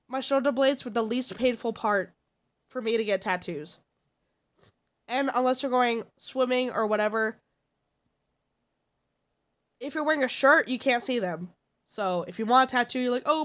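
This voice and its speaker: A woman's voice: fairly high pitch, fairly bright tone. A congested woman streamer chatting casually and giving blunt, opinionated takes. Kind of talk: casual live-stream chat.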